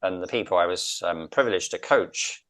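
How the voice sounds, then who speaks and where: Middle-aged English male coach, low voice, southern British accent, reflective and emphatic interview delivery. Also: normal speed, a bit bright. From London, England. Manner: earnest, emphatic, repeats phrases for effect. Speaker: interview guest, executive coach.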